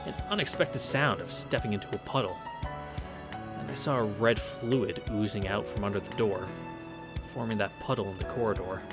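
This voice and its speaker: A man's voice: lowish voice, normal speed. A man reading a visual novel aloud as a streamer, adding casual, irreverent and profane asides, with game music behind him. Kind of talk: read-through with casual asides.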